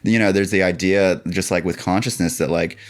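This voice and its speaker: A man's voice: low voice, fairly even intonation, brisk. A man talking as a podcast guest in a casual, rambling, philosophical way, often dropping in "like" and "you know". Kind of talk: casual conversational musing.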